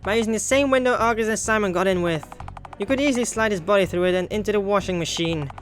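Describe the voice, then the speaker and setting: A man's voice: high voice, fast talker, faint music behind, pitched above the performer's own voice, natural voice. A man voicing a determined young accuser in a visual novel, speaking with indignant, dramatic conviction. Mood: confident reasoning.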